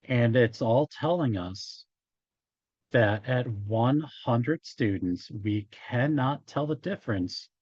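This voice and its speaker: A male instructor lectures informally in a slightly hoarse, mid-pitched voice. He moves slowly and haltingly through his explanation. The sound is dry and a little dull, like a lo-fi microphone. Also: darkish tone, dry recording. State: hoarse after hours of talking. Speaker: college instructor. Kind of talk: informal statistics lecture, working through examples.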